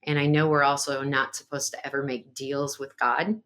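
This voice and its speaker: A woman's voice: a bass voice, little pitch movement, normal speed, a bit bright. A woman speaking as a podcast guest, earnest and reflective, with fillers as she talks. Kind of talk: conversational interview.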